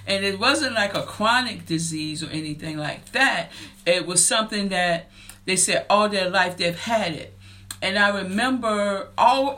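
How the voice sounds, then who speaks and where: A woman in her fifties hosting a faith-based motivational talk show, earnest and preacher-like, building to excited emphasis. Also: mid-range voice. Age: 50s. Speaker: podcast and radio show host.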